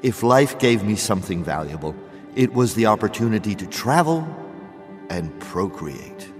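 A man's voice: on the low side, very animated, normal speed. An actor playing a grandiose, sentimental dead father in a radio soap opera, speaking theatrically and savoring his words. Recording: long reverb with loud music behind.

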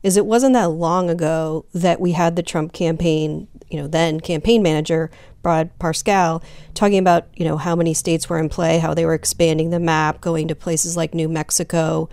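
A woman's voice: deep voice, steady tone, moderate pace, creaky, darkish tone, clear, resonant tone, no echo. A woman working as a congressional editor, giving thoughtful remarks on a political podcast and restarting sentences with you know.

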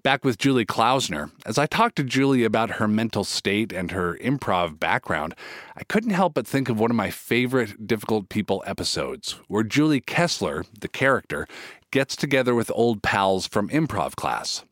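A man hosting a podcast, reading scripted narration and a sponsor message in a measured, earnest way. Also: fairly low pitch, sing-song, fairly bright tone, no room sound, clean studio sound. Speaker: podcast host. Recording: podcast with music behind.

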